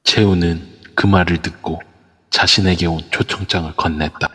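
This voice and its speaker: A man narrating an audio drama in a calm, measured storytelling voice with a husky tone. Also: sits low, in a large hall.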